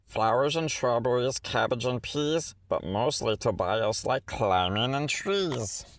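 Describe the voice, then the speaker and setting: A man's voice: mid-range voice, lively intonation, a little slow, a little hiss or hum. A man reading a rhyming children's picture book aloud in a theatrical, rhythmic way, stretching words for effect. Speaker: storybook reader.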